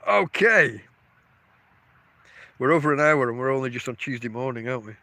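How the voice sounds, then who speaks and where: A man's voice: mid-range voice, animated, moderate pace, gravelly, pushed and bright, dry recording. A middle-aged man with a stuffy nose, rambling through a solo podcast outdoors by his tent. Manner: rambling, chatty, self-interrupting. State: congested from a cold. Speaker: podcast host. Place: outdoors at a tent camp in a field.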